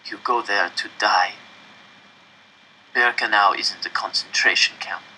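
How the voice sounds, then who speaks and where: Man playing a hoarse, hushed, grim prisoner. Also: lowish voice, fairly even intonation, measured pace, a little hiss or hum, poor audio. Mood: grim warning. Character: grim, weary male prisoner warning of death. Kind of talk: audiobook character voice.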